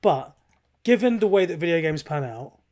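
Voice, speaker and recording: A man speaks in a fairly high voice as a podcast co-host. He is chatty and opinionated, with informal phrasing and swearing. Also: animated, moderate pace, a little mellow. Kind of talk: casual, opinionated podcast chat.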